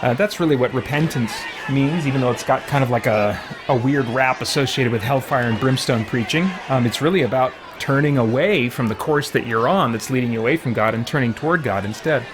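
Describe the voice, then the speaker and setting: A man's voice: medium pitch, brisk, hardly any pauses. A man giving casual, philosophical commentary as he hosts a video game playthrough, chatty and reflective. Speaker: let's play host. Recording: noisy, with game crowd sounds behind. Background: video game ambience and crowd noise.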